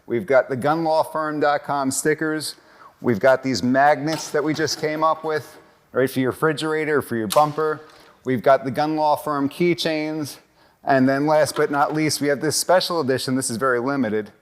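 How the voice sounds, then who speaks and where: A man's voice: a little high, steady tone, normal speed, slight background noise. A man hosting a casual interview podcast, upbeat and promotional, recorded in a cigar lounge. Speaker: podcast host and lawyer.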